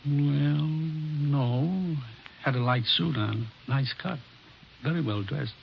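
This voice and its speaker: A man's voice: fairly high pitch, slow, creaky, slight background noise, full character voice. A man playing a sheepish, salesy pawnbroker in a 1950s radio drama. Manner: apologetic, salesy. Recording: dull old radio broadcast.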